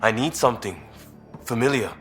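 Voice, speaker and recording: A man's voice: mid-range voice, expressive, normal speed, a bit bright, close to an everyday voice. An actor playing an idealistic, restless young British crewman in a video game, speaking in clipped informal phrases. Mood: wistful, edgy. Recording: game dialogue over faint music.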